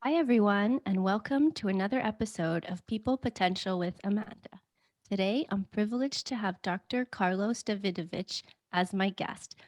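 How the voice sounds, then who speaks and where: A woman's voice: medium pitch, moderate pace, a little mellow, faint music behind. Woman podcast host, polite questioner. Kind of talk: scripted intro then interview questions.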